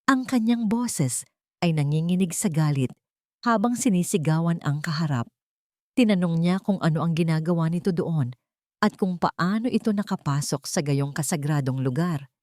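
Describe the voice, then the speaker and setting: Woman, lowish pitch, dramatic scripted romance narration, storyteller, dry recording. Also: normal speed, darkish tone, dry recording.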